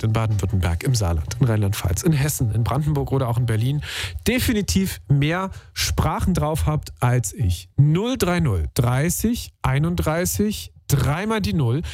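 A man's voice: mid-range voice, darkish tone, dry recording. A man hosting a call-in radio show, talking in an upbeat, slangy, chatty way with frequent filler sounds, from a radio studio. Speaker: radio host. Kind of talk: casual call-in radio talk. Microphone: studio microphone.

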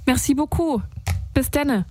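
A woman's voice: high voice, expressive, a little slow, darkish tone. A woman from Saarland in Germany hosting a live radio call-in show in an informal, chatty, slangy way. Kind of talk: casual live phone-in chat.

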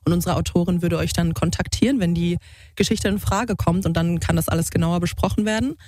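A young woman co-hosting a podcast in casual chat, her voice a bit hoarse and lower than usual. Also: brisk, a little mellow, no room sound. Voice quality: slightly husky, lowered voice. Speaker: podcast co-host.

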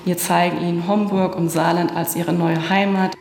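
Creaky, even-toned woman in echoey room. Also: lowish voice, moderate pace. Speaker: recruiting team leader. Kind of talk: explanatory interview answer. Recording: echoey room with faint noise.

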